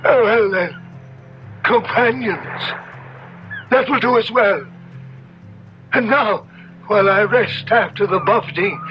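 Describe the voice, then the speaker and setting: A man voicing a gleeful, mocking hairy monster, a sinister tempter with theatrical archaic speech and a cackle, in a 1970s radio drama. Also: high-pitched. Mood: coaxing. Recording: dull 1970s radio broadcast, music underneath.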